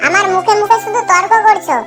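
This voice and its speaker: A woman's voice: very high voice, quick pace. A woman playing an angry, dramatic village mother in a cartoon drama, complaining about her daughter. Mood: angry, scolding. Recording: music behind the voice.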